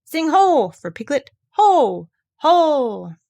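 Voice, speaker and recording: A woman's voice: high voice, big pitch swings, extremely slow, vocal fry, dark tone, voice pushed up, heavily acted. A woman voicing a modest, simple male toy bear from a children's storybook, musing and reciting in a sing-song way. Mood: proud reciting. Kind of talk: children's storybook read aloud.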